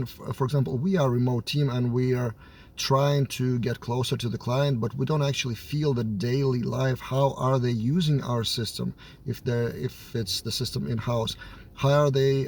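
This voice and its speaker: A man's voice: mid-range voice, gravelly, darkish tone, slight background noise. Lithuanian-accented man, slightly cold-stuffed voice. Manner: thinks aloud, hesitant, many filler sounds. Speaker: software developer and team lead. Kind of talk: casual to-camera talk.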